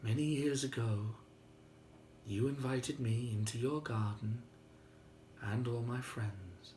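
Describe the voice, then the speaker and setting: A man voicing a calm, tender, saintly little boy in a storybook tale, slow and hushed, close to a whisper. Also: mid-range voice, echoey room, a little hiss or hum, lightly acted. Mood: serene, hushed.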